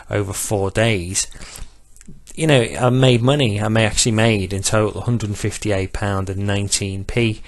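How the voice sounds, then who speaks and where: A man narrates a software tutorial in a low, even monotone. He talks steadily and pauses often on fillers. The sound is dry and close to the mic. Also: no room sound. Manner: self-described monotone, measured with filler pauses. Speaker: betting software demonstrator. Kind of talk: screen-recorded tutorial walkthrough.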